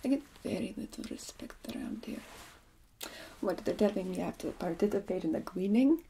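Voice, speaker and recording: A woman playing an ancient, haughty, aggrieved vampire in a comic ASMR roleplay, theatrical and breathy, often sinking into whispers. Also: mid-range voice, lively intonation, a little fast, warm tone. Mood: sulking, haughty. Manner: theatrical, indignant, grumbling. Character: ancient, haughty, aggrieved seven-hundred-year-old vampire.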